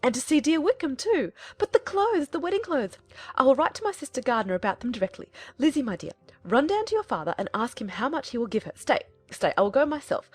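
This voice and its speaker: A woman voicing an excitable, flighty middle-aged mother in an audiobook, gushing and exclaiming in a fluttery way. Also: high voice, pitched above the performer's own voice. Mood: overjoyed, then giddy, bustling. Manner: effusive, fluttery, breathlessly exclaiming. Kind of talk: dramatized audiobook character voice.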